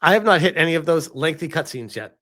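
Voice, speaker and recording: Animated male streamer with swooping pitch. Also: fast talker. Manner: exasperated, sarcastic, chuckling, swearing. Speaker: streamer reading and reacting to a game review with live chat. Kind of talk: casual livestream commentary.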